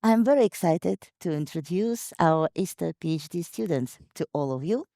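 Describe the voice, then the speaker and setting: A woman's voice: fairly low pitch, expressive, moderate pace, vocal fry, dark tone, no room sound, studio quality. A woman hosting a virtual student open day, upbeat and reading out audience questions on a video call. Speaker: host, moderator.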